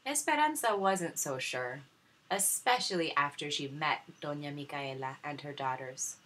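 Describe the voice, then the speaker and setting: A woman teacher reading a children's storybook aloud in an expressive narrating style. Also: low-pitched, normal speed, slight background noise.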